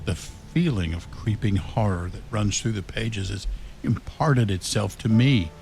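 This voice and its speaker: An actor playing a level-headed, skeptical friend in an amateur radio horror drama. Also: male voice, lowish voice, a little slow, creaky, darkish tone, a little hiss or hum. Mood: creeping dread.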